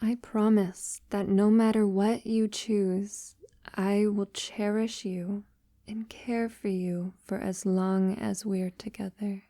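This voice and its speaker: A woman playing a tender, devoted vampire lover in an intimate ASMR audio roleplay, softly and breathily, often near a whisper, with hesitant pauses. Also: medium pitch, little pitch movement, no room sound, studio quality. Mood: loving, devoted. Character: tender, devoted female vampire lover, wealthy and long-lived.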